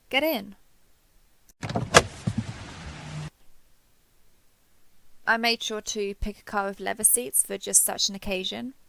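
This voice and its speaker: Woman voicing a bright, creaky friendly robot soldier. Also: mid-range voice, no room sound. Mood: cheerful showing off. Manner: chatty, jokey, reassuring. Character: friendly giant copper transforming robot soldier, upbeat, protective. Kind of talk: scripted audio roleplay addressed to the listener.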